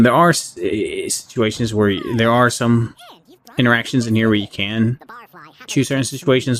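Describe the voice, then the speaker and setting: A man giving casual, unscripted game review commentary in a halting, conversational way. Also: on the low side. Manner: halting, conversational, often checks in with "right?". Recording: clearly audible background noise.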